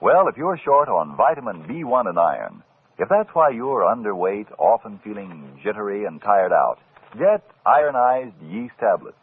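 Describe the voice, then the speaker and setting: A man announcing in dramatic, deliberate 1940s radio style. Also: fairly low pitch, sing-song, husky. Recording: tinny vintage radio broadcast.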